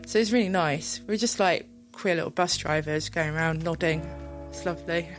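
A young woman with a slightly low voice, chatting easily and laughing between thoughts. Her talk is loose and full of likes, with faint music in the background. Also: moderate pace. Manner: chatty, giggly, lots of likes. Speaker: club night co-founder, interviewee. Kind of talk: casual chat.

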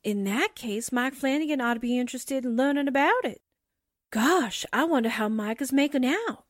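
A woman playing a sarcastic, slangy, excitable female sidekick in a radio drama. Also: sits high, normal speed, no echo, studio quality. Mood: suspicious. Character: wisecracking, jumpy, sarcastic female newspaper sidekick.

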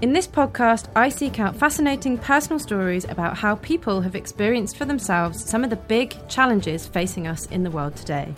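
A woman's voice: fairly high pitch, lively intonation, brisk, few pauses, music in the background. A British woman hosting a podcast, speaking in a scripted narration style. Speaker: podcast host.

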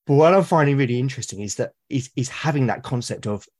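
A man with a British accent, a podcast guest talking casually and at length, often pausing to rephrase his thoughts. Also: mid-range voice, expressive, a little mellow. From Britain. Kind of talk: casual conversation about solo roleplaying.

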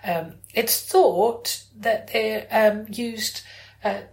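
Female podcast host, chatty and informal, mid-range pitch, recorded in a reverberant room. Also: extremely slow. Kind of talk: casual chat. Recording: echoey room.

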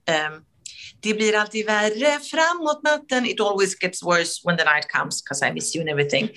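A middle-aged Swedish woman with a Swedish accent, telling animated stories in a casual interview, laughing often and full of fillers and restarts. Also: mid-range voice. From Sweden. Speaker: TV host, interview guest.

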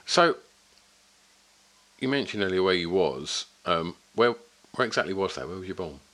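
A man interviewing a guest in a relaxed, casual way, cheering him on with frequent short replies and swearing now and then. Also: low voice, moderate pace.

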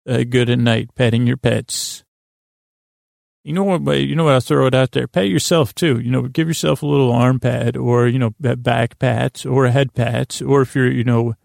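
A man hosting a sleep podcast in a meandering monologue, stumbling over words, circling back and gently goofy and self-deprecating. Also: medium pitch, creaky, no room sound, clean studio sound. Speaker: podcast host.

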